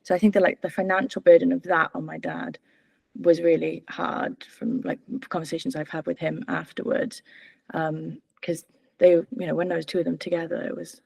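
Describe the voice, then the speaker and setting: A woman's voice: lowish voice, flat delivery, normal speed, gravelly, a little mellow, slightly rough, no echo. A woman in her twenties from Wales, giving candid, hesitant interview answers full of fillers and restarts. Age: 20s. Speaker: political candidate.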